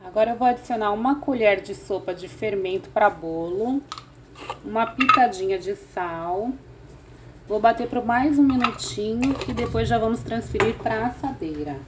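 A woman's voice: on the high side, moderate pace, noisy background. A woman hosting a home cooking channel, walking through a recipe step by step in a friendly way, fond of diminutives. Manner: friendly, uses many diminutives.

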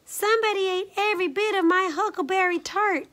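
Lady doing a little baby bear boy, super high squeaky whine, storybook character. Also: steady tone, a little fast, fairly bright tone. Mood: upset squealing. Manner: whiny, wounded exclaiming.

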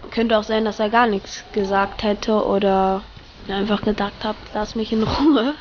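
A woman's voice: mid-range voice, steady tone, a little slow. A woman giving casual remarks in an interview recorded on location. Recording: dull, slightly noisy field recording.

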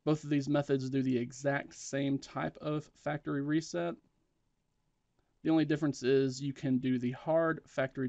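A man's voice: mid-range voice, warm tone, dry recording, lo-fi sound. A man presenting a step-by-step tech tutorial in a plain, matter-of-fact instructional way.

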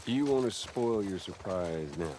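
An actor playing a gruff, teasing middle-aged Southern American father figure in a naturalistic video game, with a folksy drawl. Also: fairly low pitch, animated, moderate pace, a little mellow, slight background noise, close to an everyday voice. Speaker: game character.

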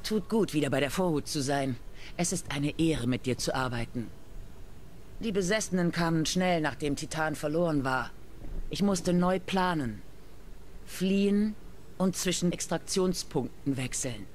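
A woman's voice: sits low, expressive, measured pace, a little mellow, slight background noise. Steely battle-hardened soldier woman. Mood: formal, earnest. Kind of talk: dramatic video game dialogue.

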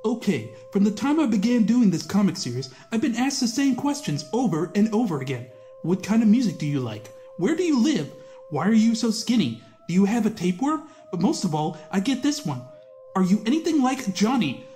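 Sardonic skinny young cartoonist, played by a man, narrating his own dreary nights with theatrical mock-grandeur. His mid-pitched voice leaps up and down expressively. Music plays behind him. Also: very animated, a little fast, warm tone. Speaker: narrator and lead.

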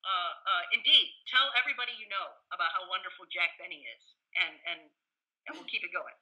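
A woman's voice: lowish voice, expressive, a little fast, bright, forceful tone, rough recording. A middle-aged or older woman, an academic guest in a conversational interview, talking emphatically and enthusiastically and repeating words mid-thought. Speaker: professor and author, podcast guest.

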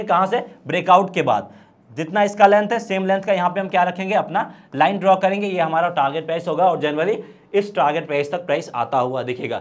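A man in his thirties with an Indian accent, giving an explanatory trading lesson and answering his own questions as he goes. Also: sits high. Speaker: stock trading instructor. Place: indoor studio, presenting at a screen. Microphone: lapel.

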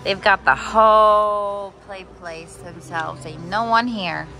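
A woman's voice: mid-range voice, slow, pushed and bright, rough recording. A young woman vlogging casually with her kids, chatty and laughing often, filming on a phone held at arm's length. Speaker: vlogger mom. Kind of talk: casual vlog chat. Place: car, parking lot and mall play area. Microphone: phone camera held at arm's length.

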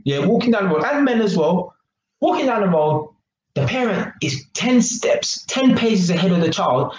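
Animated young man, echoey kitchen. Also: a little high. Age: 20s. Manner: animated, slangy, keeps asking "yeah?" and gesturing. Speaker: vlogger. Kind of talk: casual monologue to camera. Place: small kitchen indoors. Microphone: webcam at arm's length. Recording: echoey kitchen, faint noise.

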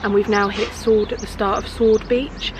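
Woman, thirties, British accent, medium pitch, casual vlog chat, handheld camera outdoors, loud wind and traffic. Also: level tone, normal speed. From United Kingdom. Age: 30s. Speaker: travel vlogger. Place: outdoors on seafront paths and roadsides. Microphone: handheld camera at arm's length.

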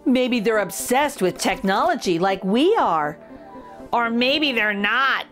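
A middle-aged woman hosting a children's storytime, reading a picture book aloud with expressive, exaggerated intonation. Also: a little high, slow pace, soft background music. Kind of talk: animated read-aloud for young children.

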